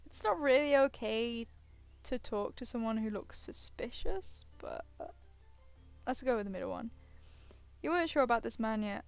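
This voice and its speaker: High, clear-voiced woman reading a game aloud. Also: lively intonation, normal speed, faint music behind. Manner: hesitant, self-interrupting asides. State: tired, yawning. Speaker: gaming streamer. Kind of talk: reading a visual novel aloud with casual commentary.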